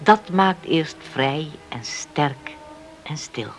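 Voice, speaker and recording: A woman's voice: low voice, lively intonation, extremely slow, over loud music, heavily acted. A woman acting a devout, sentimental farm mother in a Dutch radio drama, on a hissy old recording. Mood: solemn.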